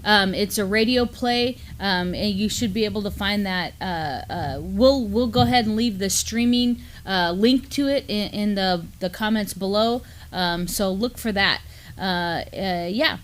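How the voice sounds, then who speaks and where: A woman's voice: mid-range voice, measured pace. Middle-aged woman co-hosting native news. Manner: easygoing, many "you know" fillers. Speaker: news show co-host. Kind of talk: casual news chat. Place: studio with mottled backdrop, at a desk.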